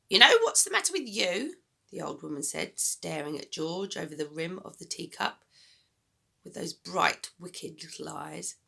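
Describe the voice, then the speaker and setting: A woman reading a children's story aloud as a teacher, in expressive narration. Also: on the low side, vocal fry, slightly rough.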